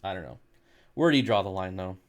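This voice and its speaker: A man's voice: deep voice, animated, fast. An American man hosting a casual livestream, talking in a laid-back, jokey way as he reads and answers comments. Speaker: livestream host reading and answering viewer comments.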